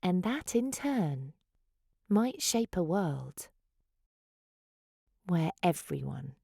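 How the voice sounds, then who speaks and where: A woman's voice: fairly low pitch, big pitch swings, extremely slow, gravelly, dark tone, husky, no room sound, clean studio sound. A woman reading a children's picture book aloud in a dramatic, sing-song rhyming delivery. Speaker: children's story reader.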